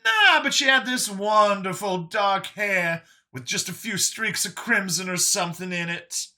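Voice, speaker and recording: A man voicing a folksy, excitable older fugitive who lives in a youthful body, rambling as he talks in an audiobook story. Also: high voice, a little slow, pitched above the performer's own voice, heavily acted. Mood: upbeat, chatty.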